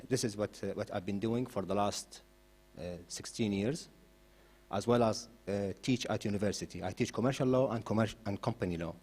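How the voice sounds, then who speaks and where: A man originally from Iraq, standing as a candidate and giving a forceful hustings speech in a mosque hall, with frequent "uh" fillers as he builds to emphatic points. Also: lowish voice. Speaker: election candidate.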